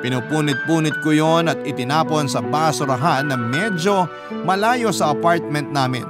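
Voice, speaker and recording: A man's voice: a little high, moderate pace. A man with a Filipino accent narrating a letter as a dramatic first-person story for radio. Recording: prominent music under the narration.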